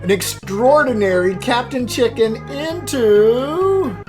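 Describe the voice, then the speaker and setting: A man's voice: extremely high pitch, expressive, slow. Chatty man teaching drawing over music. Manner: chatty, jokey, thinking aloud. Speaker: drawing instructor and host. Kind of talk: casual step-by-step drawing tutorial. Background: background music.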